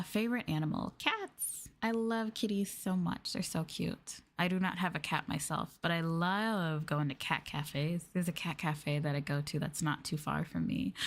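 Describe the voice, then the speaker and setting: A woman streamer chatting casually in a soft, affectionate, gushing ASMR style, close to the microphone and sometimes near a whisper. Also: on the low side. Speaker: ASMR streamer, VTuber host. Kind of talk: ASMR soft talking, casual chat with viewers.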